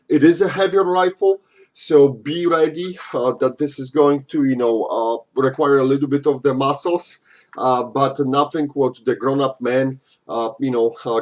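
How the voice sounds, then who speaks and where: Guy doing a live stream q&a, chatty, lots of uh and you know, kinda loose english. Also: medium pitch. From Poland. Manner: loose and chatty, frequent "uh" and "you know", slightly non-native phrasing. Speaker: live-stream host answering viewer questions.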